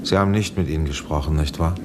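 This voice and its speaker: A grave professor in charge of a secret experiment, with a low-pitched voice. He speaks formally and hesitantly, probing uneasily, in 1970s radio drama style. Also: fast. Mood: anxious, probing. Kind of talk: German radio play acting. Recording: 1970s radio drama with clear background noise.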